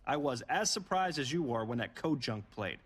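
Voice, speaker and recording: A man's voice: medium pitch, animated, moderate pace, fairly bright tone, slightly rough. A man playing a condescending, irritable wrestling boss in a video game cutscene. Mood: irritated.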